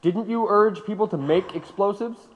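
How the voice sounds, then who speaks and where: An actor playing a hard-nosed, dismissive government interrogator in an audio drama. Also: male voice, sits high, moderate pace, in a large hall, muffled recording, close to an everyday voice. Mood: pressing. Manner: pressing, dismissive. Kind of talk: radio drama acting.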